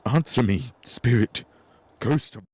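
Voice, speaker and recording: A man playing a frightened, pleading old miser in a radio play, halting and dramatic. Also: medium pitch, darkish tone, breathy edge, no room sound. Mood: fearful.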